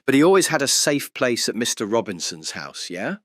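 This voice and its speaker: A man's voice: mid-range voice, big pitch swings, brisk, no echo, clean studio sound. A British man playing a curious, polite, probing interviewer in an audio drama. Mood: gently curious.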